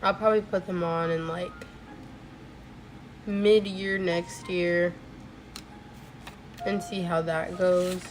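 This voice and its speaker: A woman's voice: fairly low pitch, fairly even intonation, slow pace, long pauses, slight background noise. A young woman chatting casually while crafting on a stream, rambling with lots of "like" fillers, her voice a little off from a recent cold. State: voice a bit off from a cold. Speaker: crochet streamer. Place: home crafting setup. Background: faint music.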